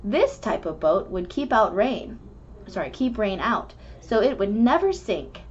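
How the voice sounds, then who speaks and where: Woman, children's book read-aloud, lively swinging intonation, measured storytelling, slightly muffled lo-fi recording. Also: medium pitch. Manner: animated, measured storytelling delivery. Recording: dull lo-fi recording with background noise.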